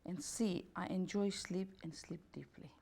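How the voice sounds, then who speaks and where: A woman with a gravelly, mellow voice reads a questionnaire aloud. She takes each lettered option in turn at an unhurried pace. Her grammar is slightly off now and then, like a second-language speaker. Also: lowish voice, fairly even intonation. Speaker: holistic health and beauty therapist. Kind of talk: reading out a questionnaire.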